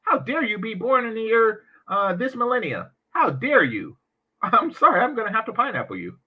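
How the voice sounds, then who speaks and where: Animated sarcastic man, high lively voice. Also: normal speed, husky, muffled recording. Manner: animated, sarcastic, mocking asides. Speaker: commentary channel host. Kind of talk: reaction commentary on a clip.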